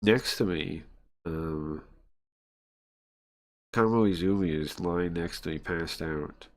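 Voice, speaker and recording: A man reading and translating a visual novel aloud on a stream in a halting, informal way, often searching for words and chatting along. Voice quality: low-pitched voice. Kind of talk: casual live read-through, translating and chatting.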